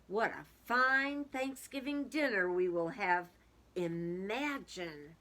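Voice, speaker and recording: A woman's voice: medium pitch, expressive, takes it slowly, sharp tone. A woman voicing an eager, gleeful storybook woman who savors her words with exclamatory emphasis. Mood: excited. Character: eager, gleeful woman fattening a turkey for dinner.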